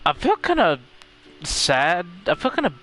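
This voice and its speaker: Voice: medium pitch, wildly swooping pitch, measured pace. A game streamer giving casual live reactions, musing aloud and questioning the story. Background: game music.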